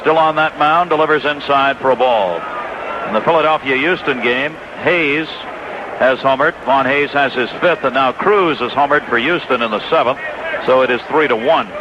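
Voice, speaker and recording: American baseball announcer on dull, noisy radio. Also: male voice, medium pitch, moderate pace. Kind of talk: live radio baseball commentary with out-of-town scores. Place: stadium broadcast booth. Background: loud ballpark ambience and noise.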